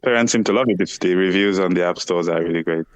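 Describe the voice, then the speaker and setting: A man from Nigeria with a fairly low voice explains his product in an earnest, conversational way, often saying "you know" and restarting phrases. The sound is dry and close. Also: fast, no echo. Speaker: startup CEO guest. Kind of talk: conversational interview answers.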